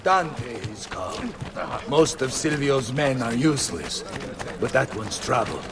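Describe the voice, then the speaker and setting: An actor playing a boisterous, combative yet sentimental middle-aged mercenary captain in a video game, theatrical, with an Italian accent. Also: male voice, medium pitch, expressive, unhurried, background noise. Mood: cocky, fond. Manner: bombastic, theatrical, swinging from bellowing to tender. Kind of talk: video game cutscene acting.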